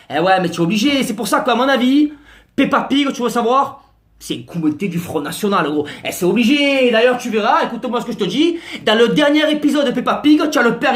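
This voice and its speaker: A man's voice: very high-pitched, fairly bright tone. A man doing a slangy, exasperated comic rant to camera. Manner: slangy, exasperated, mock-outraged. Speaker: comic vlogger.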